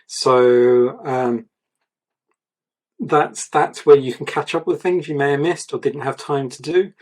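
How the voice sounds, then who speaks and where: A man reviewing an artist's portfolio, talking in a rambling, repetitive way, his voice going a little croaky. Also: mid-range voice, fairly even intonation, slow pace, a little mellow, poor audio. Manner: rambling, repeats words, gives advice. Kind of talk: casual mentoring chat.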